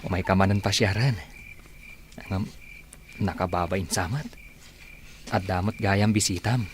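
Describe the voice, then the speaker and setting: A man's voice: sits low, unhurried, faint music behind. A man with an Ilocano accent playing an earnest young suitor in love in a radio drama, pleading and emotional. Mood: earnest, courting.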